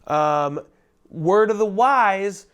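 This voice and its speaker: A loud, chatty man giving a casual product tutorial, speaking into a lavalier mic clipped under his shirt. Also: sits high, animated, extremely slow, clear, resonant tone. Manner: loud, chatty, self-deprecating asides. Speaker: gear reviewer.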